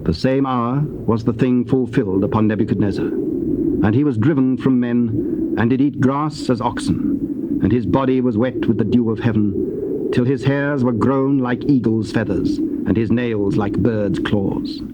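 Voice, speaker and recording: A man's voice: medium pitch, moderate pace. A man narrating dramatized scripture in a solemn, formal storytelling manner. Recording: muffled, very noisy old cassette.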